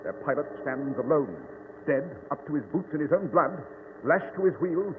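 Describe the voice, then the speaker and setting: An actor playing a brilliant, precise English detective with a British accent and a formal, pompous manner in old radio style. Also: male voice, on the high side, lively intonation, moderate pace, natural voice. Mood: grave, dramatic. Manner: pompous, exact, formal deduction. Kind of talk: 1940s radio acting. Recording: tinny, reverberant, noisy old radio recording.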